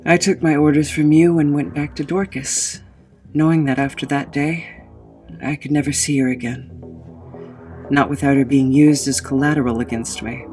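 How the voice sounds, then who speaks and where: A man's voice: on the high side, fairly even intonation, normal speed, darkish tone, close to an everyday voice. An actor playing a paranoid, weary immortal goblin in an audio drama, hesitant and uneasy as he speaks and narrates. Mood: brooding narration. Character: immortal male goblin, wary, paranoid, weary fugitive in 1937. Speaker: narrator and lead character. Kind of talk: audio drama acting with first-person narration. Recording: music under the voice.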